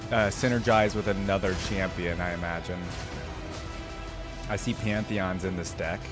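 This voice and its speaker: Deep-ish guy doing chill reaction commentary, music playing behind him. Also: fairly even intonation, normal speed. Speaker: reaction video host. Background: game audio and music.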